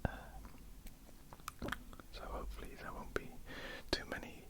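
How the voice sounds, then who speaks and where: A woman with a croaky voice, whispering ear to ear for an ASMR question-and-answer video. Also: slow pace. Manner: soft unvoiced whisper with frequent ums. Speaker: ASMR artist. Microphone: ear-to-ear stereo mic.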